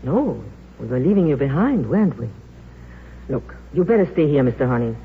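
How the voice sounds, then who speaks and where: Worldly film star, swooping old-radio voice. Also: female voice, very deep voice, very animated, fairly quick, exaggerated voice. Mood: wry, sympathetic. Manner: frank, wry, assured. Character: worldly, forthright, loyal female film star. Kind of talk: 1950s radio drama acting. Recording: muffled, hissy, reverberant old radio recording.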